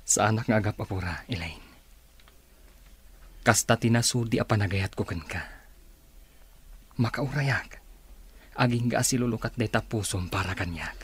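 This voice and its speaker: An actor with an Ilocano accent playing an earnest, pleading suitor in a romantic radio drama. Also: male voice, lowish voice, normal speed, long pauses, a bit bright, slightly rough. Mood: pleading, tender.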